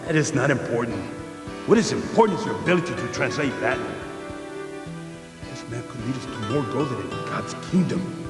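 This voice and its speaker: A male actor plays a smooth, menacing treasure-hungry villain in a game cutscene. The delivery is animated and falsely courteous. The voice echoes through a long reverb with music behind it. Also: mid-range voice, a little fast, lightly acted. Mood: greedy, commanding. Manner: oily, mock-polite, commanding. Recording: echoey hall reverb under loud music.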